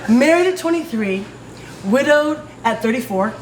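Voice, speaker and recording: A woman's voice: high-pitched, expressive, normal speed, crowd noise, poor audio. A middle-aged woman with an American accent, from a suburb of New York City, talking candidly in a personal interview. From suburb outside New York City, United States. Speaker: interviewee, playwright and performer.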